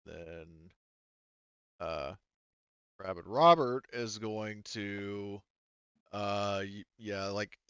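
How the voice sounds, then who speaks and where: A man's voice: on the low side, very slow, long pauses, fairly bright tone, smooth, dry recording, muffled recording. A man narrating a tabletop roleplaying game as game master in a casual way, thinking aloud and swearing freely.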